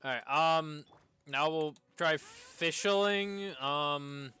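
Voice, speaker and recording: A man's voice: on the high side, extremely slow, pushed and bright, clear, resonant tone. A man streaming a game with casual live commentary, exclaiming when things go wrong. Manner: reads game lines aloud, exclaims at setbacks.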